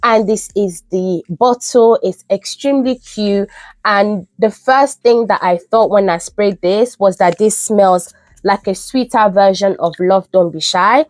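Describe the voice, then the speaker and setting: A woman's voice: medium pitch, measured pace, a little mellow, no room sound. A young woman who sounds nasal from a cold, chatting enthusiastically as a beauty vlogger reviewing products. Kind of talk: casual product review vlog. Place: UK home.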